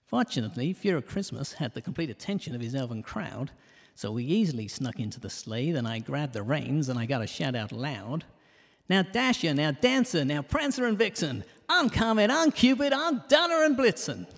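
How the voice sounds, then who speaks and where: A man playing a cheeky, self-mocking detective who narrates a comic audio drama in rhyme, landing puns deadpan. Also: a little high, moderate pace, vocal fry, heavily acted. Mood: wry storytelling, then excited calling. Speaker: narrator and lead character. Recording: reverberant hall sound.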